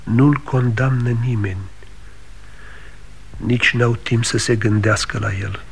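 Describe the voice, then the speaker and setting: An actor playing a sober middle-aged neighbor in a 1960s radio drama, speaking in a measured, calm way. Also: male voice, medium pitch, creaky, a little hiss or hum, lightly acted. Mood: consoling.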